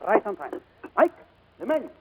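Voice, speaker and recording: A man's voice: sits high, sing-song, moderate pace, slightly rough, phone-quality sound, full character voice. An actor playing an effusive, chummy male restaurant proprietor in a 1940s radio drama, on a vintage broadcast recording. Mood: chummy, admiring.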